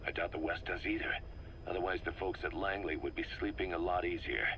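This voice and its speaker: Grim driven merc commander from a video game, deep hard-edged voice, intense storytelling, bit of tape noise. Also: male voice, steady tone, a little fast, bright, forceful tone. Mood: wary, urgent. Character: embittered, driven male mercenary commander briefing his boss. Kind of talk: dramatic video game voice acting, recounting events. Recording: faint noise, cassette tape recording.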